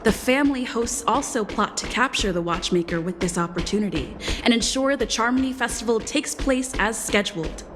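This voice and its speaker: A woman's voice: fairly high pitch, animated, fairly quick, few pauses, lightly acted. A woman playing a grand, composed storyteller narrating a scripted video game story recap. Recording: long reverb, music behind.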